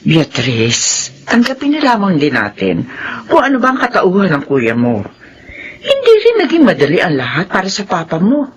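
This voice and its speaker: Older filipino nanny keeping the peace, radio drama, super animated. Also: female voice, fairly low pitch, normal speed, slightly rough, muffled recording, heavily acted. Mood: curious, soothing. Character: kindly older household nanny, accepting peacemaker.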